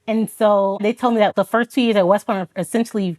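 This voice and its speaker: A woman's voice: mid-range voice, fairly even intonation, fast talker, a little mellow. A woman from Florida whose first language is Haitian Creole, telling her personal story as a podcast guest in a reflective, earnest way. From Florida, United States. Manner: retells events with quoted dialogue, laughs often. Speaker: podcast guest, Army officer.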